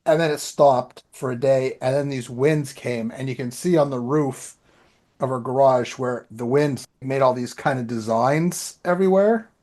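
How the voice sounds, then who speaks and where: A man's voice: medium pitch, a little slow, a little mellow. A man telling anecdotes in a rambling, informal way as a podcast co-host. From Canada. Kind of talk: casual chat, anecdotes.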